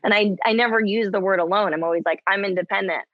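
A woman in her thirties talking candidly as a guest, halting with many restarts and frequent laughs. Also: mid-range voice, dry recording, lo-fi sound. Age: 30s. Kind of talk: candid conversation.

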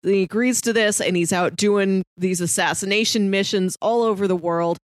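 A woman chats casually about movies as a podcast co-host. Her mid-pitched voice is wry and opinionated, and it is recorded dry, with no room sound. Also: fairly even intonation, normal speed, no echo. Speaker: podcast co-host reviewing films. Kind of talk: casual movie review chat.

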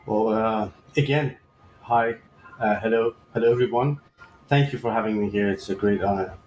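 Hesitant low-voiced man in an echoey room. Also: normal speed, darkish tone, slight background noise. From Iran. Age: 30s. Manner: hesitant, many fillers and restarts. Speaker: guest surgeon. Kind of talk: interview answers.

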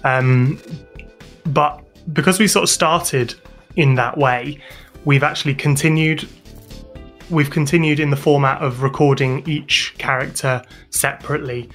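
A man with a British accent giving explanatory answers as an interview guest, pausing and repeating words as he goes. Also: on the high side, steady tone, a bit bright, faint music behind. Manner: hesitant, halting phrasing with repeated words. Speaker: interview guest, audio drama sound designer and composer.

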